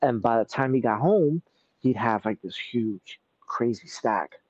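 NY-accented guy in his thirties, mellow dark tone, dull call audio, laid-back rambler. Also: mid-range voice, unhurried, dry recording. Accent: New York. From Brooklyn, New York. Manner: relaxed, rambling with many fillers. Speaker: guest artist. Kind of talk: casual interview chat. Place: home in an apartment, city buildings behind.

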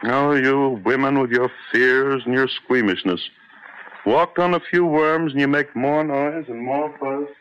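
An actor playing an irritable, domineering husband who scolds impatiently, in old-time radio drama style. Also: male voice, medium pitch, steady tone, a little slow, clean tone, natural voice. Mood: scornful. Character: irritable, domineering husband, scornful rose grower. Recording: muffled, noisy old radio recording.

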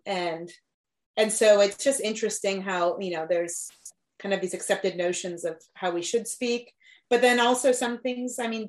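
A woman in casual conversation, speaking in a reflective, loose way with frequent fillers. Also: medium pitch. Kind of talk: casual discussion of language learning.

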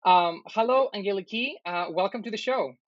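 A man's voice: high-pitched, normal speed, no echo. A man hosting a podcast, introducing his guest with many ums. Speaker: podcast host. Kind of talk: interview introduction. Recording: dull dry lo-fi audio.

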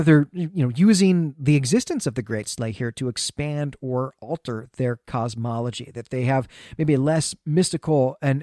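A man's voice: mid-range voice, a little mellow, dry recording, studio quality. A man co-hosting a podcast, giving a conversational literary recap in an enthusiastic, emphatic explaining way. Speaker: podcast co-host.

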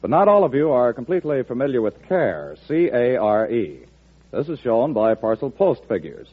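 A man's voice: medium pitch, big pitch swings, normal speed, breathy edge. A male announcer reading a scripted public appeal in formal 1940s radio style. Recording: tinny, narrow old radio recording.

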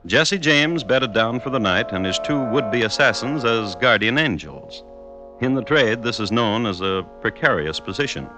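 A man with an American accent narrating an old-time radio drama in a deliberate, wry, mock-formal way. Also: medium pitch, lively intonation, over music.

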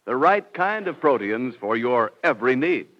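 Animated male old-time radio announcer. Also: medium pitch, normal speed. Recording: dull vintage radio recording with music.

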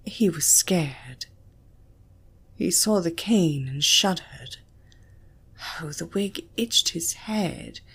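A British woman narrating an erotic story in a breathy voice that often drops toward a whisper. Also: fairly low pitch. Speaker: audiobook narrator.

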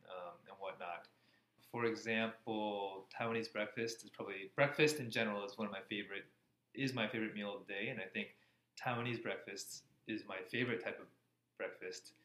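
American man, bright voice in an echoey room. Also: lowish voice. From Florida, USA. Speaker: interview guest, cardiology fellow. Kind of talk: casual interview answers. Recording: echoey room, faint background noise.

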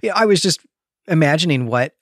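A man's voice: a little high, animated, fast, a bit bright, no echo, studio quality. A man hosting a podcast in a casual, conversational book discussion, steering the talk along. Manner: leads the conversation with questions. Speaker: podcast host.

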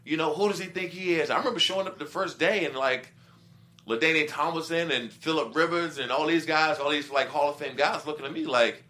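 Man, middle-aged, bright tone, former football player, casual sports chat, explains with quoted asides. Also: fairly high pitch, a little fast.

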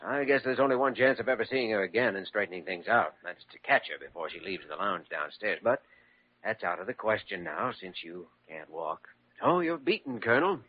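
An actor playing an earnest, thoughtful younger doctor, conversational, in a 1940s radio drama. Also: male voice, on the low side, animated, fairly quick. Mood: goading. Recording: muffled old radio recording.

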